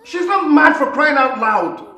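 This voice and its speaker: A man's voice: extremely high pitch, expressive, measured pace, bright, forceful tone, soft background music, heavily acted. A man with a Nigerian accent playing a headstrong, indignant prince in a film drama, speaking emphatically and repeating himself. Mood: defiant. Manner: emphatic, repetitive, defiant. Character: headstrong, indignant prince defending his marriage. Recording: roomy echoing film sound.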